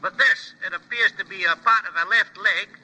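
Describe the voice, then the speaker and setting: Radio drama role: deferential police sergeant on the telephone, very high, piercing, earnest, factual. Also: male voice, fairly quick, pushed and bright, full character voice, low-quality recording. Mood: dutiful. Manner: dutiful, matter-of-fact reporting. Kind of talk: old-time radio drama acting.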